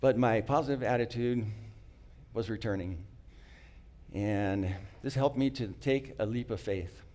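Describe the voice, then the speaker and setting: A middle-aged man tells a personal story to an audience, slowly and earnestly. English is not his first language. He pauses, restarts phrases and clears his throat now and then, in a voice of ordinary middle pitch. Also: dark tone. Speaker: speaker sharing a personal story.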